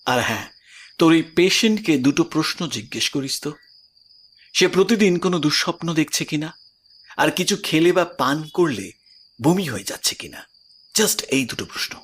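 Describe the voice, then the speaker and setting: An actor playing an earnest, grave professor obsessed with the paranormal, speaking insistently in an audio drama. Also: male voice, on the high side, animated, moderate pace, fairly bright tone, husky. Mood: measured, ominous. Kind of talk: audio drama acting in Bengali.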